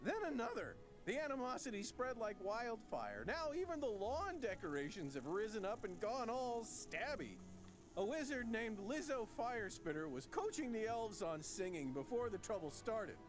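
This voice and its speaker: A worried townsperson , voiced by an actor, pleads with the player in an animated, rising and falling voice. Game music plays clearly underneath. Recorded as clean game voice-over. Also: mid-range voice, moderate pace, natural voice. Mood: anxious. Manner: pleading, lively. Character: worried townsperson guarding a street. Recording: game audio with background music.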